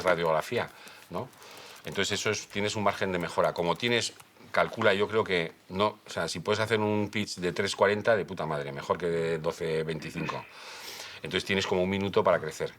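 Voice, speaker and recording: A man speaks as a script advisor, giving frank, thoughtful feedback. His voice sits very low. He talks in a loose, conversational way, often restarting his sentences. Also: a bit bright. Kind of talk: workshop feedback and interview.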